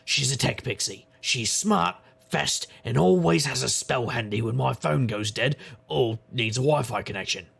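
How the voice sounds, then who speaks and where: Very gravelly, wisecracking paranormal detective. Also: male voice, mid-range voice, moderate pace, hardly any pauses, a bit bright, exaggerated voice. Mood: wry. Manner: wry, rambling, irreverent. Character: wisecracking, jaded paranormal private investigator. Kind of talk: scripted noir-style first-person narration.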